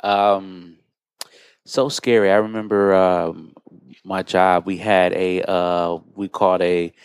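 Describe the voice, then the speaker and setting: An American man with a low voice talks as a podcast host. He explains and recounts in a conversational style, and the recording is dry and close. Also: steady tone, slow, smooth, no room sound. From United States.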